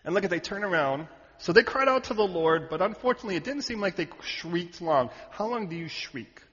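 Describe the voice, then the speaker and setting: Male preacher, sermon, animated, shouts at peaks, slightly high voice, muffled recording. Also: brisk, big-room sound. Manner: animated, shouting at peaks, jokey asides to the audience. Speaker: pastor.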